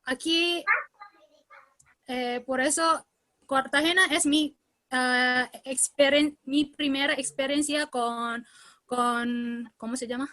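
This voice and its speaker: A woman from Indonesia with an Indonesian accent, giving a seminar presentation in beginner Spanish, often pausing to search for words, over a video call. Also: sits high, steady tone, pushed and bright, dry recording.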